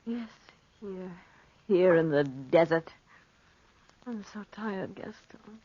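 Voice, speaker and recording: A woman's voice: fairly low pitch, lively intonation, takes it slowly, mellow, slightly rough, no echo, full character voice. An actress playing a fearful, secretive desert woman, pleading and breathless, in 1940s radio drama style. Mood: weary. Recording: muffled vintage 1940s radio recording.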